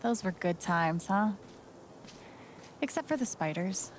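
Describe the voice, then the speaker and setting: A woman's voice: medium pitch, expressive, unhurried, a little hiss or hum, lightly acted. An actress playing a friendly, playful young woman adventurer in video game dialogue. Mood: friendly, teasing.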